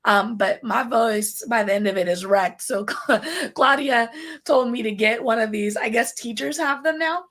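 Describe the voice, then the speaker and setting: Raspy-voiced bubbly woman podcast host. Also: on the high side, normal speed, a bit bright. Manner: bubbly, chatty, many fillers. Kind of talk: casual live-stream chat.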